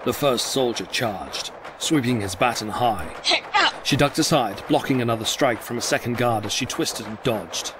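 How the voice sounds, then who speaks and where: A man's voice: mid-range voice, moderate pace, background noise. A man delivering scripted dramatic narration as the narrator of an audio drama.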